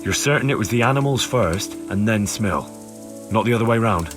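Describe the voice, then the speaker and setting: A low male voice from an actor plays an earnest young investigator in a video game. He questions in a measured, persistent way. Music plays underneath. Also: animated, lightly acted. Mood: patient, probing. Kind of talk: video game dialogue acting.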